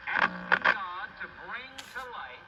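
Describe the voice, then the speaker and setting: A man's voice: sits high, sing-song, slow. A man preaching a radio sermon, heard through the speaker of an old radio set. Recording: distant, muffled old radio speaker, very noisy.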